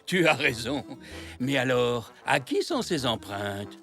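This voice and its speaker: Cartoon zebra grandfather: elderly, kindly and playful, hugely animated melody, gently encouraging. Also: mid-range voice, slow, vocal fry, faint music behind, heavily acted. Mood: amused. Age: older character. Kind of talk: French children's cartoon dialogue.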